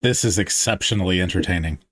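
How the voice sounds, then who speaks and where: A man's voice: deep voice, quick pace, a bit bright. A man hosting a podcast in casual chat, amused and often chuckling.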